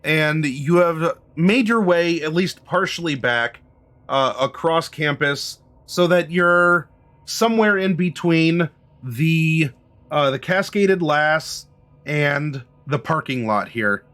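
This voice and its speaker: A man with a squeaky voice narrating a tabletop game as game master, halting and often clearing his throat. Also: expressive, fairly bright tone.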